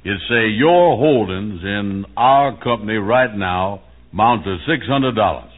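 Low-pitched naive money-hungry fellow, folksy, old-time radio comedy, dull narrow-band vintage recording. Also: male voice. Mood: confiding, eager. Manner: slow, folksy, ungrammatical talk. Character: gullible, lovelorn, money-hungry man scheming for a windfall. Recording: muffled 1940s-50s radio recording.

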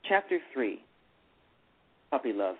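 A man's voice: medium pitch, sing-song, takes it slowly. A man narrating a novel aloud on a radio play, reading steadily and often correcting himself. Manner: reads steadily, with frequent self-corrections. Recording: tinny phone-line radio.

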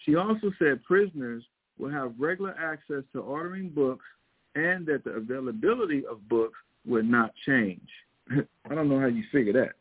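Midwestern male host, husky voice, tinny phone-quality audio, chatty and emphatic, talks right to the audience. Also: mid-range voice, animated, moderate pace. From Missouri, American Midwest. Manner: conversational, emphatic, keeps addressing listeners directly. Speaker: show host and priest. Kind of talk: casual news commentary with scripture talk.

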